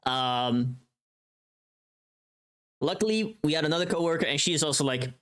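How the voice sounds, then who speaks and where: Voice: medium pitch, expressive, normal speed, a bit bright. A streamer chatting casually on a livestream in a playful, teasing, sweary way.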